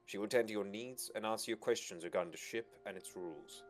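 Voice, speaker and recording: A man's voice: on the low side, fast, faint music behind, pitched above the performer's own voice, lightly acted. A man voicing a confident, formal expedition financier who addresses people with authority in a visual novel reading. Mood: formal, assured.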